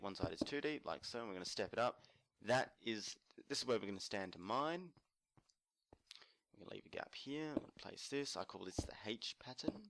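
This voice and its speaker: Low gravelly man narrating gameplay. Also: a bit bright, slight background noise. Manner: murmurs and hums while building, self-correcting. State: slightly croaky from screaming at a concert. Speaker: let's play host. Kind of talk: casual gameplay tutorial narration.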